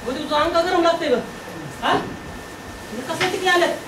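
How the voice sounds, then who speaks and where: Man playing an anxious village farmer, high-pitched, echoey. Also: brisk, noisy background. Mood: alarmed, urgent. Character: anxious village farmer fretting over his sick cow. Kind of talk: amateur Marathi stage drama acting. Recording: echoey room from far off, music behind.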